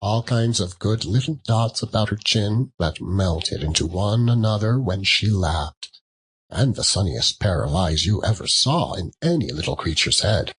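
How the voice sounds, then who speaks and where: A man narrating a classic tale as a dramatic audiobook, in a theatrical, measured way. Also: fairly low pitch, expressive, husky, rough recording.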